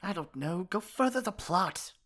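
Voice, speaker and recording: A man playing a pompous, easily exasperated supervillain in an ASMR roleplay, theatrical and sarcastic with a softer caring side. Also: sits high, expressive, normal speed. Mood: flustered, annoyed. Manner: pompous mock-grand monologuing that slips into flustered sarcasm. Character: theatrical gloating male supervillain, exasperated, secretly caring nemesis.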